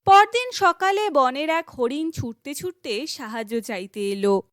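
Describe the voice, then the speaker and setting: A woman's voice: high-pitched, expressive, a little slow, no room sound, clean studio sound. A woman reading scripted fairy tale narration for children, as a storyteller.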